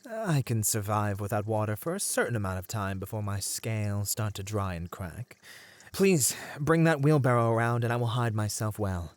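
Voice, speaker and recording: A man playing a merman siren in an ASMR roleplay, speaking in courteous, formal phrases as a seemingly gentle creature with a predatory streak. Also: lowish voice, expressive, no room sound, close to an everyday voice. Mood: eager, earnest. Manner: soft, courteous, formal, halting speech. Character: male merman siren, feigning gentle innocence, secretly predatory.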